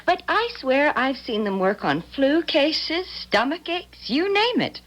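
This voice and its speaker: An actress playing a sociable, teasing, curious mayor's wife in a 1970s radio drama, chatty and gossipy. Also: female voice, high voice, sing-song, measured pace, a bit bright, exaggerated voice. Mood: warm, chatty. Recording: 1970s radio broadcast recording.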